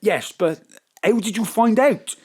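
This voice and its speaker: A man's voice: high-pitched, lively intonation, measured pace, warm tone, slightly rough, full character voice. A man playing a gullible, tipsy pub drinker in a comic audio drama, slurring and befuddled. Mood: anxious, hushed.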